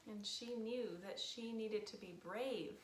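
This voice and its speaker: A woman's voice: fairly high pitch, measured pace. A woman hosting storytime for young children, speaking playfully in simple phrases. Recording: roomy echo, loud guitar music behind.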